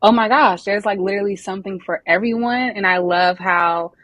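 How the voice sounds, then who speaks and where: A woman's voice: medium pitch, fairly quick, no echo. A woman hosting a podcast, keen and curious as she asks her guest questions. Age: young adult or older; has a daughter. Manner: enthusiastic, asks warm follow-up questions. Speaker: podcast host. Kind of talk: casual interview talk.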